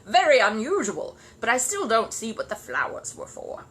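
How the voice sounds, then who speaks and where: A woman's voice: on the high side, huge pitch swings, moderate pace, a bit bright, husky, full character voice. A woman voicing a mean, nosy male neighbour in a storybook, with a grudging, knowing delivery. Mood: grudging, emphatic.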